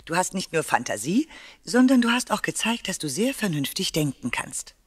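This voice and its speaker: An actress playing a schoolteacher in a children's audio play, with a husky voice. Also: female voice, lowish voice, sing-song, moderate pace, no room sound, clean studio sound. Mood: approving. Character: stern-sounding schoolteacher giving praise.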